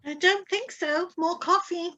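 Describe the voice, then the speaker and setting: A woman playing a practical, gently questioning wife in an old-style radio play, read over a video call. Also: very high voice, steady tone, a little slow, lo-fi sound, exaggerated voice. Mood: mild concern. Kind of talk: 1940s radio acting read aloud.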